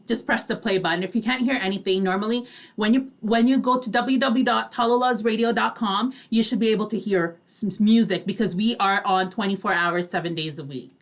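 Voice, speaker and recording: A woman's voice: medium pitch, fairly bright tone. A woman with a Filipino accent co-hosting a casual live online radio show, upbeat and chatty. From Philippines.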